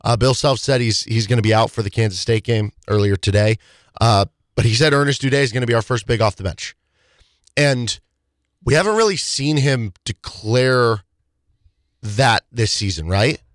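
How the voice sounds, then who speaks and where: Hoarse man in casual sports chat. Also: mid-range voice, normal speed, no room sound, clean studio sound. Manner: rambling, many restarts and fillers. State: hoarse, voice partly lost. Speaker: sports talk show co-host.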